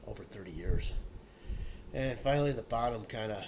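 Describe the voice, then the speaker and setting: A man in his sixties, audibly congested and sniffing, rambles through a relaxed home whiskey tasting. His voice sits on the low side, and he trips over repeated words and fillers. A clear hiss runs underneath the recording. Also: moderate pace, rough recording. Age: 60s. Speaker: whiskey review vlogger. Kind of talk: casual solo tasting chat.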